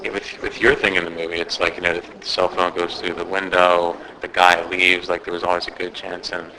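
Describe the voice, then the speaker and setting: Chatty low-voiced man, crowd behind. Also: monotone, brisk, poor audio. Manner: chatty, enthusiastic, rambling with likes. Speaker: film review interviewer. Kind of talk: casual press interview.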